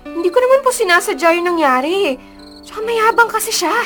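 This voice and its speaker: A woman's voice: very high voice, lively intonation, fast, fairly bright tone, faint music behind. A woman playing a feisty, proud and cheeky female student in a radio drama. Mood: defiant. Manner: spirited, cheeky.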